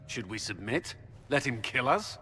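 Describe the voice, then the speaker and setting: A resolute military commander, grim and clipped, with a middle-pitched voice that moves widely. His tone is forceful and grave, as in a game cutscene. It rings in a long hall reverb. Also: big pitch swings, a little slow. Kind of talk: dramatic video game acting. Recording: long reverberant hall, faint background noise.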